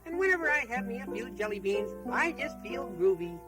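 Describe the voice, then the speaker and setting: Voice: mid-range voice, big pitch swings, a little fast, over music, lightly acted. A performer voicing a goofy, cheery boy hero who stammers and talks in groovy slang. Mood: cheerful. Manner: bubbly, stammering, says groovy.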